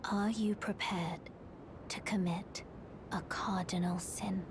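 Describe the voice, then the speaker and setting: Slow, hushed, solemn maiden guide. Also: female voice, steady tone. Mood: quietly resolute. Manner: measured, solemn, restrained. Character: solemn young maiden guide, quiet, mysterious, resolute. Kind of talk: hushed, formal fantasy game acting. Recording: loud rumbling background noise.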